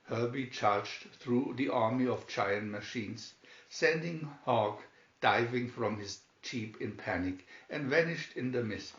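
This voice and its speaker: A male narrator reads an adventure storybook slowly and deliberately, at a medium pitch. The sound is somewhat muffled, with clear room echo around the voice. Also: a bit bright. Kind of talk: reading a children's adventure story aloud. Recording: dull, echoey room recording.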